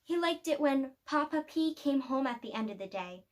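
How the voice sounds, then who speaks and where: High-voiced woman reading a children's storybook. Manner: expressive storytelling with deliberate pauses. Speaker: storybook reader. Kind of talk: children's picture-book read-aloud.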